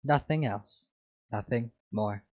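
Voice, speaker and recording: A man's voice: mid-range voice, slow, a little mellow, no room sound. A man voicing a bitter, contemptuous female artificial intelligence in a dramatic fan fiction reading.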